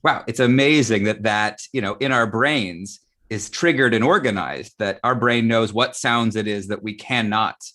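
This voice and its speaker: A man's voice: on the low side, moderate pace, few pauses, pushed and bright. Conversational man leading a theatre discussion. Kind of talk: casual live panel conversation.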